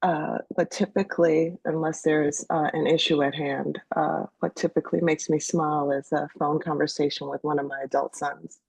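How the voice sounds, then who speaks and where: A woman from New York introduces herself on a video call. Her voice is low, gravelly and husky, with a dry sound. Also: flat delivery, normal speed, few pauses, a little mellow, no room sound, rough recording. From New York, United States. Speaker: participant. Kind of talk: casual self-introduction.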